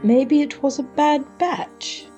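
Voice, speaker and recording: A woman playing a practical, quiet young wizard's apprentice in an audio drama, speaking in a matter-of-fact, dry manner. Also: high-pitched, slow pace, soft background music. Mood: puzzled, dry. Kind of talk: audio drama character acting.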